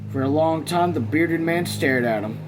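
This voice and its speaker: A man's voice: a little high, normal speed, soft background music. A man hosting a read-along, narrating a novel and dropping laid-back, casual asides. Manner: laid-back, profane commentary. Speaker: host of a read-along channel.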